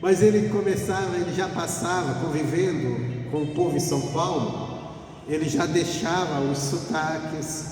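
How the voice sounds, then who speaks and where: A man's voice: on the high side, moderate pace, darkish tone. A middle-aged man preaches informally as a pastor, telling anecdotes conversationally and repeating phrases for emphasis. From rural Pernambuco, Northeast Brazil. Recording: echoey hall, distant mic, faint music.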